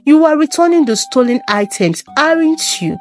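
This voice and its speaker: A woman's voice: high voice, big pitch swings, moderate pace, voice pushed up. A woman storyteller voicing a principled, supportive village man in an earnest manner. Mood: soft, supportive.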